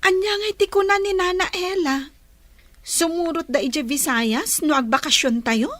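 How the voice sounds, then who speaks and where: A woman's voice: extremely high pitch, moderate pace, a bit bright, dry recording. A woman playing an inquisitive young female companion in an Ilocano radio drama.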